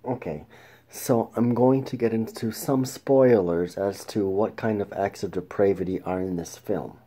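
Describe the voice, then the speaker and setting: A man hosting a casual film review show, talking in a halting way with restarts and throat clearing. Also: fairly low pitch, mellow. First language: English.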